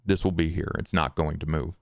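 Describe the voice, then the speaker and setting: A man with a gravelly voice doing improvised tabletop roleplay and narration in a measured, halting delivery. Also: very deep voice, steady tone, no room sound, clean studio sound. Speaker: player in a tabletop roleplay podcast.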